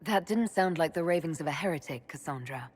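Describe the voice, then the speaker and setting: Composed elf leader, lowish. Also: female voice, expressive, darkish tone, breathy edge. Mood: measured. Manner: measured, dry. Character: composed female elf mage leader, dry and resolute. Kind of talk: dramatic game acting.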